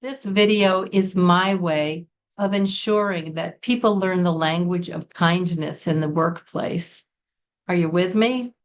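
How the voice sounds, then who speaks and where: A middle-aged American woman from Connecticut, giving an earnest, deliberate business English lesson and stressing key words. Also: on the low side. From Connecticut, United States. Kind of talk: scripted lesson to camera.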